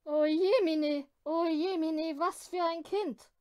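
Woman putting on an exasperated, high-pitched farm maid. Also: fairly even intonation, slow, darkish tone. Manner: wailing, dramatic. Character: exasperated farm maid lamenting a naughty child.

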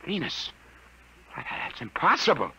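High-pitched, agitated everyman. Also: wildly swooping pitch, a little slow, gravelly, bright, forceful tone, husky, exaggerated voice. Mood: incredulous. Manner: urgent, slangy, agitated. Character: frantic, disbelieving everyman desperate to warn of invasion. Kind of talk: 1950s radio drama acting. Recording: noisy vintage 1950 radio recording.

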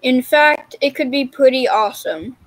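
A woman's voice: sits high, lively intonation, moderate pace, dry recording. A teenage girl reading a picture book aloud over a video call.

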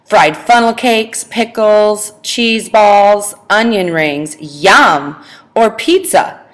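A woman's voice: mid-range voice, animated, drawn-out words. A woman reading a school presentation aloud over a video call, stressing each food word. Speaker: student presenter.

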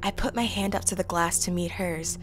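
A woman's voice: on the low side, brisk, over music, natural voice. A young woman playing a lonely, wry student who narrates an introspective audio drama. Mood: wry wonder. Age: young adult. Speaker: lead and narrator.

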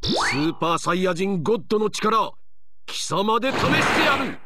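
A man's voice: high-pitched, sing-song, normal speed, over loud music. A man voicing a proud, cocky, challenging young fighter in an anime battle game. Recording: loud game effects and noise.

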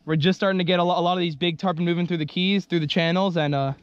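A young man hosting a fishing vlog from a small boat, giving excited commentary and shouting as he fights a fish. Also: high-pitched, very fast, clean tone, dry recording, lo-fi sound. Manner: hyped, shouting during the fight. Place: small boat on open water outdoors. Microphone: action camera on boat. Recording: loud wind and water noise.

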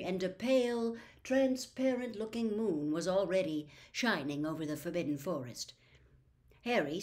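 An older woman in her sixties or beyond reading a storybook aloud with expressive, dramatic phrasing. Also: mid-range voice. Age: 60s or older. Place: small book-lined room at home.

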